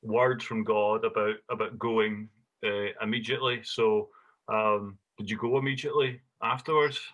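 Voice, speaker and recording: Bright-voiced man interviewing. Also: lowish voice, fairly even intonation, normal speed, highs cut off. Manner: hesitant, many fillers and restarts. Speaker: interviewer, youth charity director. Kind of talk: conversational interview.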